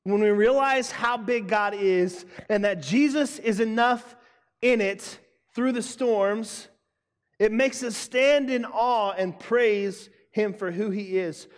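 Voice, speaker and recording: A young man preaching a sermon to students, emphatic and loud, repeating his key lines. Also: sits high, slow, clean tone. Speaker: youth pastor. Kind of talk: youth sermon. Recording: long hall reverb.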